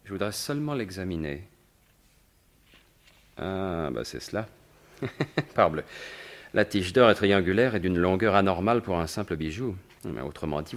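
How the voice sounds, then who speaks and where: An actor playing a suave, mocking gentleman thief in a 1950s-style French radio mystery, with a sardonic, assured, theatrical delivery. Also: sits low.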